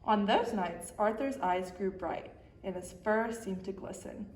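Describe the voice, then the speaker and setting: A woman's voice: medium pitch, measured pace, darkish tone, a little hiss or hum. Echoey female storybook narrator. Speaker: story time reader. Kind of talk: expressive children's storybook reading. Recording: echoey room.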